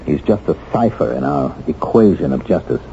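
Deep-voiced, bitter, wronged American man. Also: expressive, normal speed, warm tone, slight background noise. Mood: bitter, determined. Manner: earnest, emphatic speechmaking. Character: bitter, determined wronged man just freed from prison. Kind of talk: 1970s radio drama acting. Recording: dull vintage radio recording with music.

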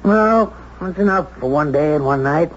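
A man's voice: fairly high pitch, expressive, moderate pace, lightly acted. A man acting a brash, domineering businessman in a 1970s radio drama, commanding, teasing and cynical. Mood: brisk. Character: brash, domineering high-stakes businessman, sardonic boss. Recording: muffled 1970s radio broadcast, some hiss.

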